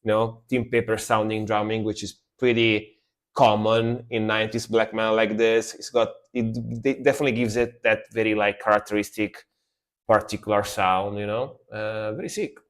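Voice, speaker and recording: Young adult male, Italian accent, lowish voice, casual and excitable music-reaction streamer. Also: normal speed. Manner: enthusiastic, rambling, repeats words like "sick".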